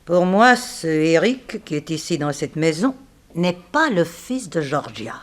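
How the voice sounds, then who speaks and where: An actress playing a proud, composed woman under suspicion in a 1960s French radio drama, speaking in a formal, measured, self-controlled way. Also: low-pitched, very animated. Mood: cool, dignified. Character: composed, proud female companion under suspicion, coolly reasoning.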